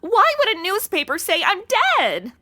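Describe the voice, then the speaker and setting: A woman acts a young American valley-girl type in a comedy radio play. The voice is high and swoops around, blunt, casual and easily exasperated. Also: wildly swooping pitch, moderate pace, bright, forceful tone, full character voice. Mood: anxious, baffled. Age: young adult. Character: flighty, slangy young modern woman, easily rattled. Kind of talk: comedy audio drama acting.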